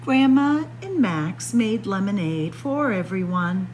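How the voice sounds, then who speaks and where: Woman, children's read-aloud, expressive, deliberate, slow pace, faint background noise. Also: medium pitch. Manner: expressive, deliberate, addressing young listeners as friends. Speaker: librarian storyteller. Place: indoor library room with bookshelves.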